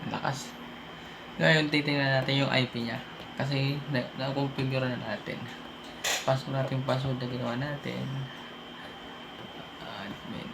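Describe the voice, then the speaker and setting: A man's voice: mid-range voice, steady tone, a little slow, gravelly. A Filipino man presenting an informal hands-on tech tutorial, thinking aloud as he goes step by step. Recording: noisy amateur room recording.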